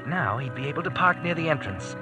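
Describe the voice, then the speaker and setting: An actor playing a grim, needling thriller narrator in a classic radio drama, clipped and suspenseful. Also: male voice, fairly high pitch, expressive, a little fast, music in the background, close to an everyday voice.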